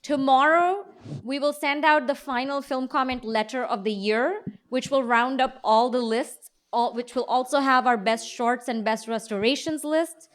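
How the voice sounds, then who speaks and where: A woman's voice: high-pitched, moderate pace. A woman co-hosting a live panel, presenting to an audience in a conversational way. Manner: speaks to an audience. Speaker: co-host and magazine editor. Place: live event on stage before an audience.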